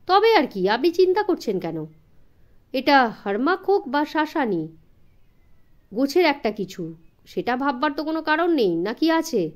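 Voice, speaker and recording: A woman voicing a calm, confident male detective in an audiobook, brisk and reassuring, asking assured questions. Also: high-pitched.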